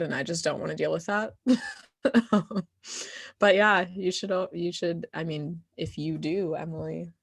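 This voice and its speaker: Candid young woman with a lowish voice. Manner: candid, self-deprecating, often laughs through words. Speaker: guest playwright and performer. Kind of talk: casual audience Q&A.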